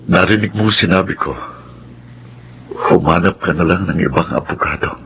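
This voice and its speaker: An actor playing an earnest, principled male lawyer in a Filipino radio drama, speaking deliberately. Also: deep voice, pushed and bright, lo-fi sound, a little hiss or hum. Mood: firm. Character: principled, earnest male lawyer telling his life story.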